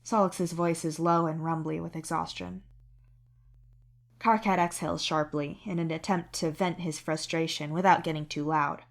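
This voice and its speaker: A female narrator reads a piece of fiction aloud. Her voice sits a little low, and the delivery is that of scripted storytelling. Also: fairly even intonation, normal speed, gravelly. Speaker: podfic narrator and author.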